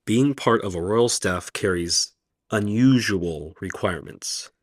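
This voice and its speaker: A kindly elderly professor, voiced by a man, talks in a calm, measured way with a very deep voice. His lines in this radio play sound dry and close-miked. He is wry and reassuring. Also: no room sound. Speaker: professor character.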